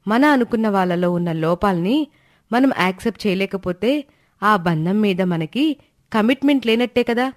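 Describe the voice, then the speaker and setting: A woman voicing a sincere, supportive young bride-to-be in an animated moral story, reasoning gently and earnestly. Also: mid-range voice, normal speed, lightly acted. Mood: earnest, reassuring.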